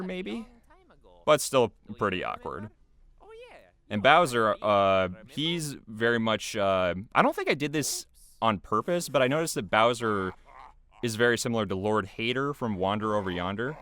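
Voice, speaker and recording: A man's voice: lowish voice, very animated, moderate pace, fairly bright tone. A man giving casual, unscripted behind-the-scenes commentary in a rambling, hesitant way.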